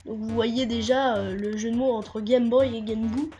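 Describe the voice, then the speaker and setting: A woman's voice: a little high, fairly even intonation, normal speed, mellow, soft background music. A woman with a stuffy, nasal voice from a cold, commenting a game walkthrough hesitantly, with many fillers and restarts. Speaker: gameplay commentator.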